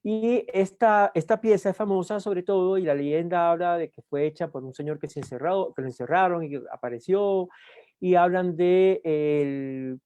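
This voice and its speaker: A man's voice: high voice, warm tone, smooth, dry recording. Cuban man giving a university talk. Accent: Cuban Spanish. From Cuba. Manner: unhurried with frequent "eh" fillers and restarts. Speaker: guest lecturer.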